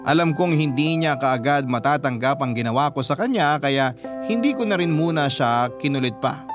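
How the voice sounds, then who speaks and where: A man with a Filipino accent, a radio host reading a listener's letter as scripted first-person storytelling. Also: mid-range voice. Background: music under the voice.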